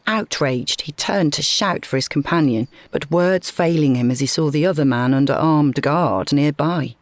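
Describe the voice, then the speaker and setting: A woman's voice: low voice, normal speed, no echo. A woman reading a scripted story as a dramatic narrator.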